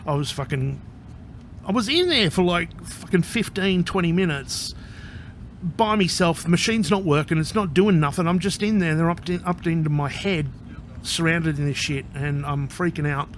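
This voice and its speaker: Man, mid-high voice, blunt and foul-mouthed vlogger, rambling and on edge. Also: normal speed, a little hiss or hum. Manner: profane, rambling and candid, with frequent swearing; anxious and agitated. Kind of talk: casual personal vlog.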